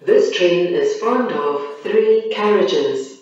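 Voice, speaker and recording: Echoing, slow-paced female station announcer. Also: on the low side, animated, a little mellow, highs cut off. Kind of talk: automated public announcements, scripted and formal. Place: heard over loudspeakers in a railway station. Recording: echoey station PA, long reverb, distant mic.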